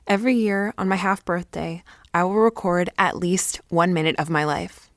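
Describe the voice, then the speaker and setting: A woman's voice: fairly low pitch, fairly even intonation, moderate pace, no room sound, close to an everyday voice. Woman playing a dramatic, self-important teenage girl, close and dry. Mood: chatty, self-important. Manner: dramatic, rambling, boastful, teenage slang. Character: excitable, self-important, melodramatic teenage girl keeping an audio diary. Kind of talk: confessional audio diary monologue, naturalistic acting.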